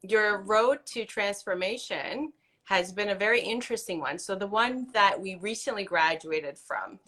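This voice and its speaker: A woman's voice: mid-range voice, moderate pace, pushed and bright. A woman hosting a live online interview, welcoming her guest in an upbeat, friendly way. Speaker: host.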